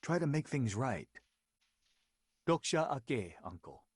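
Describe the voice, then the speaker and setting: An actor playing an earnest, dutiful young war veteran who narrates a game story in a sober, respectful way. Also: male voice, medium pitch, big pitch swings, slow, husky, no echo, studio quality, heavily acted. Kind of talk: dramatic game narration and dialogue. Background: background music.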